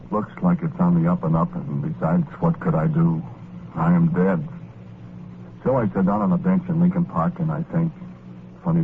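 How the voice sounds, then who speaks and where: Low, gravelly, lovelorn ghost. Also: male voice. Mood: resigned. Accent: American. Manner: rambling, plain slangy, folksy storytelling. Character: meek lovelorn working-class male ghost, wistful and forgiving. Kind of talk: 1940s radio drama first-person narration. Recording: muffled old radio recording with music. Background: music.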